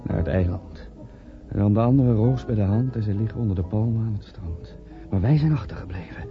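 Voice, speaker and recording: Man acting a haunted lovelorn man, gravelly, hushed, poetic, radio play, dull recording over music. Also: on the low side, fairly quick, close to an everyday voice. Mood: melancholy.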